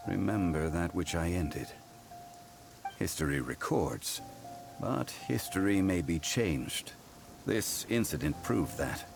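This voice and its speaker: An actor voicing an ancient god in human form, a calm and dignified scholarly man who speaks formally and without hurry, with game music underneath. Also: low-pitched, gravelly, exaggerated voice. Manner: measured, formal and unhurried. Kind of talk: game cutscene voice acting.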